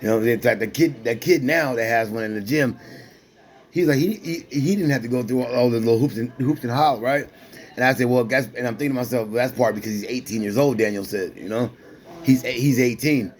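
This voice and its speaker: A man in his forties, a boxer, speaking at an ordinary middle pitch. He rambles in a loose, streetwise way, looping back on himself and restarting his sentences as he talks to a companion. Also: a little fast. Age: 40s. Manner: loose, repetitive, streetwise slang with frequent restarts. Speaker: amateur boxer. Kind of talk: casual rambling talk to a companion.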